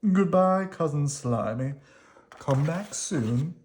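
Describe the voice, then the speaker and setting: A woman's voice: low voice, lively intonation, slow, darkish tone. A woman voicing a friendly, unruffled female country worm in a children's storybook, welcoming and matter-of-fact. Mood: contented.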